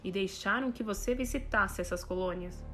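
A woman's voice: medium pitch, normal speed, a bit bright, soft background music, close to an everyday voice. A woman playing a skeptical, intense scientist in an audio drama, questioning insistently and earnestly. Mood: suspicious, probing. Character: skeptical, probing female scientist, troubled and intense.

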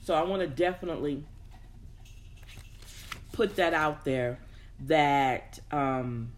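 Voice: mid-range voice, steady tone, takes it slowly. A host chatting informally to an audience in a motivational style, thinking aloud and repeating words for emphasis. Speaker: host of a personal development show. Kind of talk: casual motivational talk to an audience.

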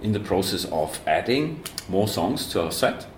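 A man with a very deep, low voice talks in a casual, halting way, full of fillers and restarts and the odd joke. The sound is roomy, with faint ambience of a coffee room behind him. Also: very animated, a little hiss or hum. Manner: hesitant, many fillers and restarts, joking. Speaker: band member and guest. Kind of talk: casual interview chat. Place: university student coffee room.

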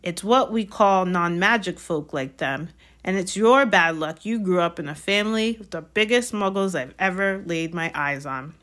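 Storybook giant man, played by a woman: gruff, good-hearted, anxious, folksy dropped consonants. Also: on the low side, normal speed, fairly bright tone, close to an everyday voice. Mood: blunt. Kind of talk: storybook character voice.

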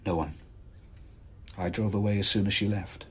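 A man's voice: on the low side, fairly even intonation, normal speed, breathy edge. An actor playing an appalled, anxious married father in a British radio drama, earnest and agitated. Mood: uneasy, confessing.

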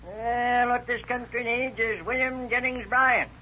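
A man's voice: very high voice, fairly even intonation, measured pace, sharp tone, exaggerated voice. An actor playing a half-deaf, grumbling farmer in his nineties in a folksy 1930s radio drama style. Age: 90s. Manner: folksy, querulous. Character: half-deaf nonagenarian farmer, grumbling about hard times. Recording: tinny, noisy 1930s radio recording.

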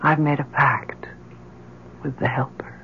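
A woman playing a distraught, obsessive grieving mother in an old-time radio drama, pleading fervently with a husky voice. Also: low voice, little pitch movement, a little slow, dull audio, slight background noise. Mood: hushed, secretive. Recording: vintage radio recording.

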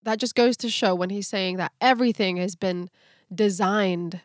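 A woman's voice: medium pitch, normal speed, no room sound, clean studio sound. A woman co-hosting a Bible study podcast, talking about faith in a casual, conversational way. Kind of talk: casual conversational religious discussion.

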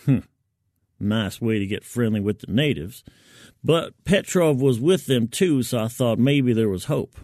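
Expressive male story narrator, dry close sound. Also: medium pitch, measured pace, dry recording. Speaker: fiction narrator. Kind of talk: first-person story narration in character.

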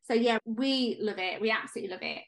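A woman co-hosting a podcast, talking in a chatty, enthusiastic way with a slightly high voice. Her voice is going hoarse as she loses it. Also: quick pace. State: losing her voice. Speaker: podcast co-host. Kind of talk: casual podcast chat.

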